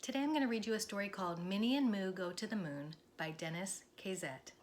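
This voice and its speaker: A woman narrating a children's storybook aloud in a deliberate, expressive school read-aloud style. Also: medium pitch, background noise. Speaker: elementary school teacher reading aloud.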